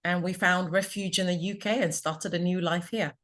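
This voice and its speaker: A woman's voice: on the low side, steady tone, normal speed, a bit bright. A middle-aged woman from Ghana, a confidence coach, telling her story earnestly and reflectively in an interview over a remote call.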